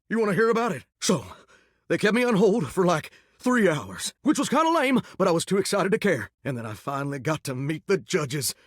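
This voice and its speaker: A man's voice: high-pitched, dry recording, clean studio sound. An actor voicing a vain, melodramatic male shower spirit who dreams of singing stardom, talking in a folksy, theatrical drawl for a video game. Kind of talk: video game character dialogue.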